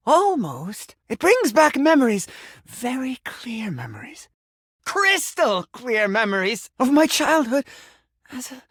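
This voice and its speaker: A man's voice: very high-pitched, a bit bright, dry recording, clean studio sound, exaggerated voice. An actor playing a confused, sentimental sink who thinks he is human in a video game, with theatrical, flowery delivery. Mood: wistful, tender. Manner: theatrical, flowery, swinging between formal and exclamatory. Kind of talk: video game character acting.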